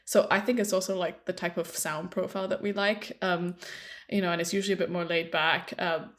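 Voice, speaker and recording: A woman's voice: fairly low pitch, fairly even intonation, fairly quick. A young woman from Hong Kong in casual podcast chat, explaining her thoughts at length. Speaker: podcast co-host. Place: London.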